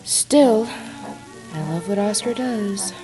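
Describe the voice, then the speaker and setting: A woman's voice: medium pitch, slow pace, lightly acted, low-quality recording. A woman playing a kindly, chatty, slightly scatterbrained Victorian wife in an audio drama, speaking hesitantly. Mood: worried. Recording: music under the voice.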